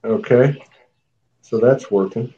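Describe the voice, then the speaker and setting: A man's voice: medium pitch, slow pace, dark tone, breathy edge, muffled recording. A man hosting a live online talk show in a loose, chatty way, laughing often and thanking viewers by name. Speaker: host.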